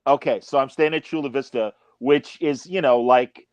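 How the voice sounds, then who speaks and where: A middle-aged man telling lively anecdotes in a casual chat, acting out quotes and laughing often. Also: mid-range voice, normal speed, dry recording, dull audio. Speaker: podcast guest.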